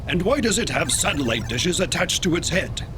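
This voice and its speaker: Haughty alien station crewman, contemptuous of humans, slightly high voice, sci-fi drama with clear ship noise behind. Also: very animated, moderate pace. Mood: disdainful. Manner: pompous, disdainful, formal. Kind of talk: sci-fi audio drama acting.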